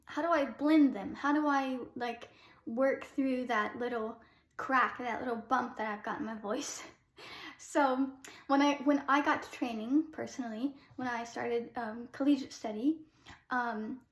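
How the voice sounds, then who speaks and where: A young adult woman, a classical soprano, giving a relaxed vocal lesson in a chatty way with many ums. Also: high voice, measured pace, roomy sound.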